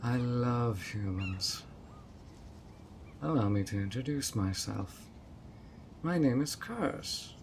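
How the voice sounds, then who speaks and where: A man's voice: medium pitch, lively intonation, slow pace, pauses often, a little mellow, slight background noise. A man voicing a sweet but obsessive male fairy who coaxes a guest in an audio roleplay, with a honeyed, playfully sly manner. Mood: welcoming, delighted. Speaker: fairy host of a tea party.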